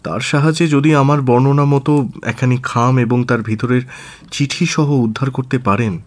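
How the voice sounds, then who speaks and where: An actor playing an aristocratic government minister in a radio drama, formal, earnest and deliberate, with hesitant pauses. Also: male voice, medium pitch, steady tone, natural voice. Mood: guarded, firm. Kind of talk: Bengali radio drama acting.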